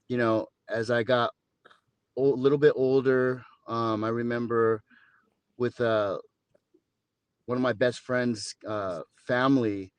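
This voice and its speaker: Fifties man, low voice, dry wisecracking storyteller, dead-dry booth sound. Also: no room sound. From Southern California. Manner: dry joking, rambling storyteller. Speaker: podcast guest. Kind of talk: casual interview talk.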